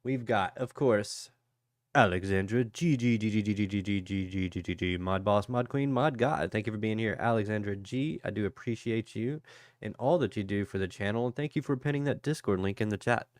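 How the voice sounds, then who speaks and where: Congested low-voiced man hosting a stream. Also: a little fast, no room sound, clean studio sound. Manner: rambling, greets chat viewers by name; low energy. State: congested, sniffly. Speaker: crypto livestream host. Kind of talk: casual livestream chat.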